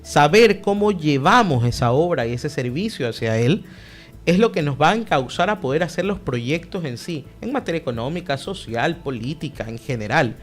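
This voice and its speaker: Young male guest, fairly high, animated speechmaker, faint background music. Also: normal speed. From Ecuador. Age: young adult. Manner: emphatic, lively, speechmaking. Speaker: political guest, party director. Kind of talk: radio interview answer.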